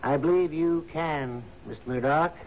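An actor playing a captain's steady, deferential right-hand man in a 1970s radio drama, measured and soothing. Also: fairly high pitch, natural voice. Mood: earnest, assuring. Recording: muffled, noisy 1970s radio broadcast.

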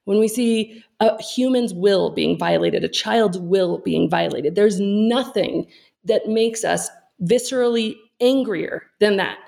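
This is a woman with a creaky, fry-laden voice at an average pitch. She talks casually but with conviction, like a podcast guest making her case. She is emphatic and opinionated, and drifts into tangents. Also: slow, darkish tone. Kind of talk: casual impassioned discussion.

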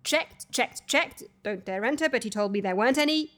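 A woman playing a dry, exasperated office colleague in a comedy audio drama, deadpan and incredulous. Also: a little high, normal speed. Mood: weary, reluctant.

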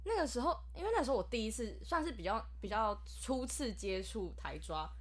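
A young woman from Taiwan hosting a casual podcast chat, animated and laughing often, tripping over her words. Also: on the high side, a little fast, breathy edge. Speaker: podcast host.

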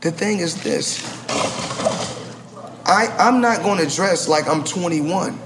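A man's voice: high voice, slow, a bit bright, a little hiss or hum. A man, talking casually and boastfully, rambling with many restarts. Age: 40s. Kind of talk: casual podcast chat.

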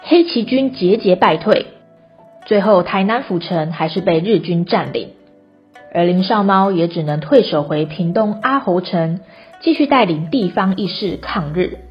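A woman's voice: fairly low pitch, animated, unhurried, lo-fi sound. A woman with a Taiwanese Mandarin accent narrating a scripted story for radio. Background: music.

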